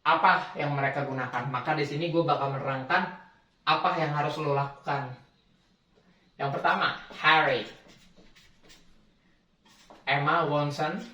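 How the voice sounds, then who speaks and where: A man's voice: a little high, steady tone, unhurried, long pauses, bright, forceful tone, distant mic. A young man explaining accents in a casual, slangy tutorial, often correcting himself mid-word. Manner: chatty, slangy, self-correcting. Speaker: language tutor. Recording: echoey room, away from mic.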